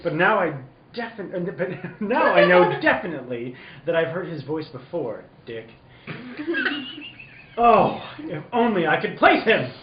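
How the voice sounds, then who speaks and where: A woman's voice: fairly low pitch, very animated, a little slow. A woman playing an earnest, polite adult newspaperman hero in a melodramatic old-time radio serial. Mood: pensive, determined. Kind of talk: vintage radio-play acting. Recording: echoey, noisy dull room recording.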